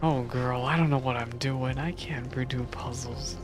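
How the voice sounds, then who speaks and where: A woman's voice: very deep voice, fairly even intonation, normal speed. A woman giving casual, informal live stream commentary on a game, thinking aloud as she goes. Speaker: game streamer reacting to story. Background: game music.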